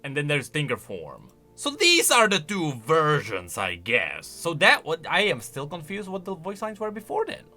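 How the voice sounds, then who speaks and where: A man with a fairly high, bright voice gives casual reaction commentary. His pitch jumps around in an animated, exclamatory way as he thinks aloud. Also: moderate pace, hardly any pauses, faint music behind. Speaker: video creator and commentator.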